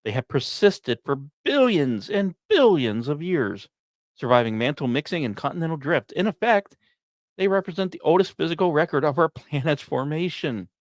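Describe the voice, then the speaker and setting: A man hosting a podcast, reading scripted science narration in a measured, explanatory way, recorded close. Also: fairly high pitch, big pitch swings, no room sound. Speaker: podcast host.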